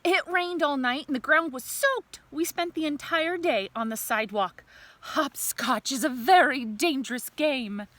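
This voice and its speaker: A woman's voice: high voice, a little slow, a bit bright, no echo, voice pushed up. A woman voicing a young, mischievous earthworm from a storybook, telling his diary with childlike earnestness. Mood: matter-of-fact. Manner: earnest, childlike, simple diary-style statements.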